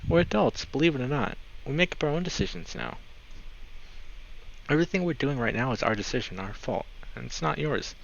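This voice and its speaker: A man's voice: on the high side, brisk, vocal fry, a little hiss or hum, voice pushed up. Man playing a frank, casual young friend. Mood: firm, reassuring. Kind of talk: visual novel character reading. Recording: slightly dull mic, music behind.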